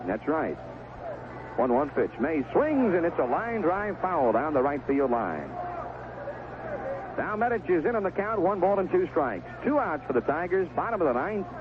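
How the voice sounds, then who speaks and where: High-pitched man calling baseball on tinny old radio. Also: sing-song, normal speed. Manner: clipped phrases, descriptive call of each pitch. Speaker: radio baseball play-by-play announcer. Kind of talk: live sports commentary, 1970s radio. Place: outdoor ballpark broadcast booth. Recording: noisy, tinny narrowband vintage radio broadcast.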